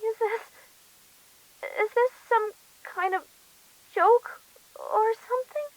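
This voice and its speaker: A meek teenage pegasus mare held captive in an audio drama. Her tiny, very high voice comes slowly and haltingly, close and dry. She is terrified and pleads throughout. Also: dry recording, poor audio. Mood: tearful, pleading.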